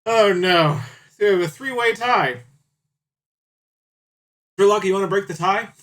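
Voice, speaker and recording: Higher-pitched man, playful livestream banter. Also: a little slow, a bit bright. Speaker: livestream host reading out game and chat choices. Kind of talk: casual stream chat and commentary on a visual novel.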